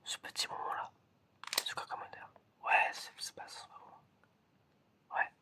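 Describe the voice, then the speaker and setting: An ASMR creator whispering a casual, encouraging roleplay test, softly repeating words. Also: measured pace. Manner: casual, encouraging, informal phrasing. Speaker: ASMR creator playing an examiner. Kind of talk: ASMR roleplay, testing the listener's senses.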